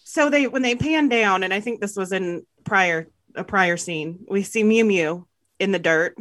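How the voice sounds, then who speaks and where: A woman's voice: medium pitch, measured pace, a bit bright, no room sound. A woman who works as a teacher, co-hosting a casual review podcast and telling giggly stories. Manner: giggly storytelling.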